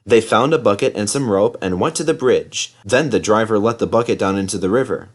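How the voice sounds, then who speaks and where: A man's voice: lowish voice, fairly quick. A man narrating a children's story as a scripted read-aloud. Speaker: storybook reader.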